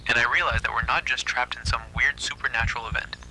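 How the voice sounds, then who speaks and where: Male horror narrator, suspenseful and breathy, very bright tone, mid pitch, slight background noise. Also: steady tone, quick pace, low-quality recording. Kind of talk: scripted first-person horror narration.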